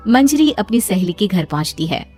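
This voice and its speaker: A woman's voice: medium pitch, sing-song, normal speed, a little mellow. A woman reading a scripted story as its narrator. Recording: music behind the voice. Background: background music.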